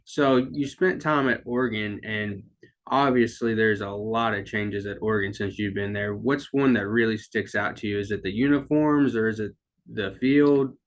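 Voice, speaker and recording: A man with a slightly low-pitched voice acts as interviewer. He puts his questions conversationally, in a plain everyday style. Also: moderate pace.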